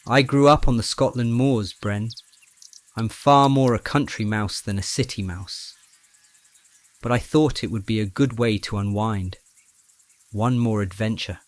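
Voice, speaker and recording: Man playing a measured, bookish young roommate. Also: on the low side, dry recording, natural voice. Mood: calm, inviting. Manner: measured, slightly formal phrasing. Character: blond young man, tidy bookish early-rising roommate, gently teasing. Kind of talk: audio drama acting.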